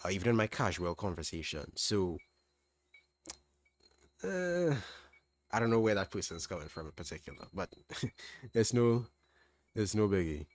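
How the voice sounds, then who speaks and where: A young man with a mild Trinidadian accent answering chat questions on a livestream, relaxed, thinking aloud with restarts. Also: deep voice, moderate pace, pauses often. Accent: Trinidadian, fairly standard. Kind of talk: casual livestream Q&A.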